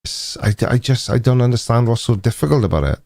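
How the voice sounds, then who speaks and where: A man's voice: mid-range voice, expressive, fast, creaky, darkish tone, no room sound. A man in his forties with a Welsh accent, hosting a casual live stream chat in a dry, teasing, mock-indignant manner. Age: 40s.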